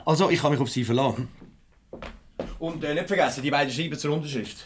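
An actor playing a hurried, businesslike office boss with a Swiss German accent, giving formal instructions in 1970s radio comedy style. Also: male voice, on the high side, background noise, natural voice.

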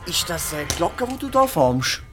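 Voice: medium pitch, sing-song, a little slow, music in the background. An actor with a Swiss accent playing an eager, curious young peasant boy who speaks hesitantly in a children's radio play.

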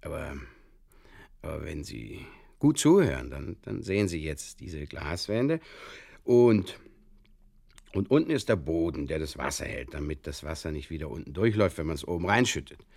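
A man playing an earnestly muddled Bavarian fishkeeper in a halting, circuitous comic radio monologue, with a Bavarian accent. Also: very deep voice, very animated, vocal fry, darkish tone, no echo, clean studio sound, full character voice. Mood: fumbling, earnest. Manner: halting, circuitous, literal-minded, over-explaining. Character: rambling, earnestly muddled Bavarian fishkeeper telling absurd tales.

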